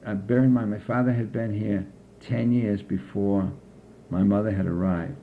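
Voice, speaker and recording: An older man from the United States, recalling his childhood slowly and carefully in an oral history interview. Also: on the low side, fairly even intonation, phone-quality sound, slight background noise. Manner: slow, measured recollection with frequent pauses.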